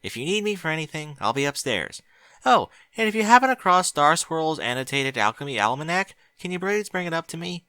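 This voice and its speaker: A man's voice: sing-song, fairly quick, pushed and bright, dry recording, exaggerated voice. A man voicing a bookish, cheerful young female pony mage in a raised, chatty voice. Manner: eager, chatty, higher-pitched. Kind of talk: audiobook character voice.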